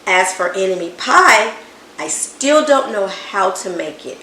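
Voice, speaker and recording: A woman reading a children's story aloud with expressive, animated first-person storytelling. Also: medium pitch, a little slow. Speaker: storybook reader. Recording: roomy echo, faint background hiss.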